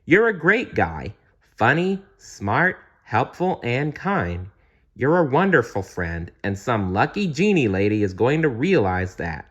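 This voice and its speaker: A man's voice: mid-range voice, big pitch swings, unhurried, fairly bright tone, breathy edge, highs cut off, voice pushed up, heavily acted. A man voicing a friendly, supportive young hero prince in a casual, encouraging way for a children's storybook.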